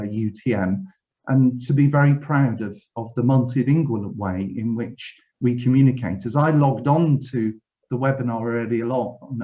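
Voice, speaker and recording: A man's voice: medium pitch, dark tone, dull audio. A man from Wales with a Welsh accent, giving an earnest, reflective webinar address as a university director general, often restarting his phrases. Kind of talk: formal speech. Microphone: video call.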